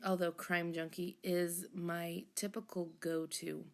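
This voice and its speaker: Low, gravelly-voiced woman with a hoarse throat. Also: takes it slowly. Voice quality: husky, rough. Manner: rambling, self-correcting, half-whispered asides. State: hoarse, froggy throat after illness. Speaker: journaling channel host. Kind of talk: casual voice-over chat.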